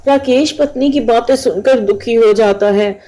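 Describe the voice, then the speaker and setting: A woman's voice: a little high, moderate pace, warm tone. A woman narrating a scripted moral story as the storyteller.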